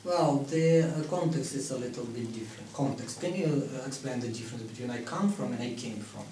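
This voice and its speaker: A man's voice: medium pitch, lively intonation, fairly quick, warm tone. A man leading a teacher-training English class, correcting his students' word choices and prompting them patiently. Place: meeting room around a table. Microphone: far camera. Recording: roomy, distant, faint hiss.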